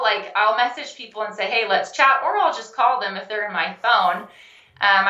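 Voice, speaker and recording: A young adult woman, a health coach and guest, telling her story in a casual interview, animated and laughing often. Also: a little high, roomy sound, lo-fi sound. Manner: animated, laughs often, acts out quoted phone calls.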